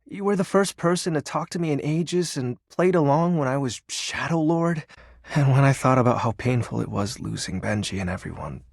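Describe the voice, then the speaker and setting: A man's voice: mid-range voice, animated, moderate pace, creaky, no echo, clean studio sound. A man voicing the personification of darkness in a video game, a shy, sentimental, awkward self-styled shadow lord who speaks hesitantly with bursts of theatrical brooding. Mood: wistful, hopeful.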